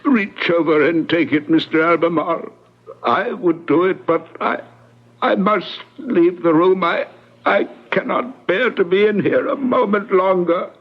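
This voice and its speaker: An actor playing a loyal, elderly manservant in an old-time radio drama, pleading and deferential in a halting manner. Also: male voice, sits high. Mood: distraught. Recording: dull lo-fi radio drama recording.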